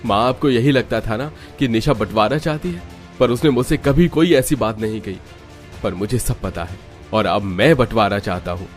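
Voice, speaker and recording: Man playing a protective young husband, earnest, cartoon drama, lowish pitch, music behind. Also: normal speed, natural voice. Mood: angry, resolute.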